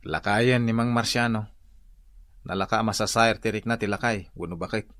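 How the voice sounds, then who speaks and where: A man's voice: on the low side, normal speed, a bit bright, no room sound, clean studio sound, natural voice. A man playing an exasperated, chiding village husband in a comedic Ilocano radio drama.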